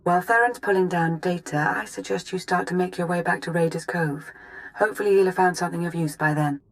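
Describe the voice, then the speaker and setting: An actress playing a composed intelligence chief in a video game, speaking formally and in a measured, articulate way. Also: female voice, fairly low pitch, natural voice. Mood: measured, serious.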